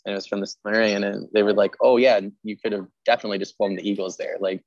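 Low-voiced chatty man, roomy muffled sound. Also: fast talker. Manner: chatty, animated storytelling with quoted asides. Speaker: podcast host; teacher. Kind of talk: casual chat interview.

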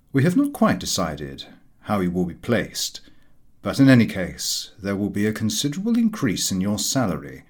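A grave, courteous older gentleman in charge of an office, as a male narrator plays him, speaks in measured, stately phrases. He is a calm storybook employer, formal in every line. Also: fairly low pitch, husky, voice pushed down.

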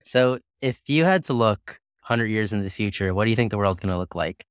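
A man's voice: on the low side, animated, fairly quick, clear, resonant tone, no room sound, studio quality. A man hosting a podcast interview, asking questions in a friendly, conversational way. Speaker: podcast host.

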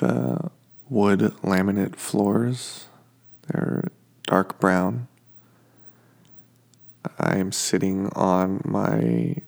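A sniffly man hosts a sleep podcast, talking very slowly and drifting from one detail to the next with lots of halting fillers. His low voice has heavy gravelly fry and a husky edge. He is speaking from his home kitchen. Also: a little mellow. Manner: meandering, halting, many fillers. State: sniffly from allergies. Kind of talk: slow rambling description for sleep.

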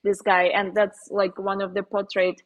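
A woman painter with a Slovenian accent, speaking as a guest in a casual interview, pausing often with fillers and restarts. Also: mid-range voice, monotone. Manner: halting, many fillers and restarts, laughs often.

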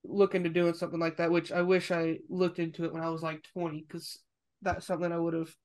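High-voiced young man asking halting questions. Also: monotone. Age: 20s. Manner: curious, halting questions with restarts. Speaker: podcast host. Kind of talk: casual podcast interview.

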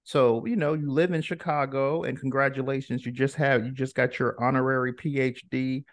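Gravelly-voiced man hosting a podcast. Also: medium pitch, little pitch movement, normal speed, no echo. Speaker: podcast host. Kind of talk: casual conversational interview.

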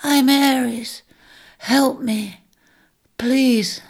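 A woman voicing a weak, injured male ram king who whispers hoarsely in slow, broken phrases. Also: sits high, fairly even intonation, voice pushed up, exaggerated voice. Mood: feeble. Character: weak burned male ram sovereign, near death.

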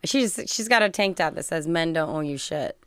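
A woman in her forties with a low, smooth voice talks frankly and swears freely. Her speech comes across crisp and dry, with no room sound around it. She speaks with a blunt, unguarded candor. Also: expressive, moderate pace, a bit bright, no room sound. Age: 40s. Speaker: podcast guest. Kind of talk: candid casual conversation.

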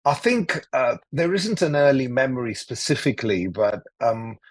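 A man in his forties from Greece, talking as a podcast guest in a relaxed, rambling way with many fillers and restarts over a remote call. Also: medium pitch, normal speed, husky, no room sound. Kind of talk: casual interview talk. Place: cozy room.

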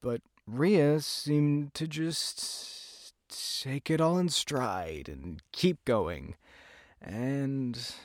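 A man acting an anxious, weary young man who gives a halting, confessional first-person account in an audio drama. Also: medium pitch, expressive, no room sound, clean studio sound. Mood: uneasy, reflective. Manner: halting, hesitant. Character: weary, anxious young man recounting a frightening road trip.

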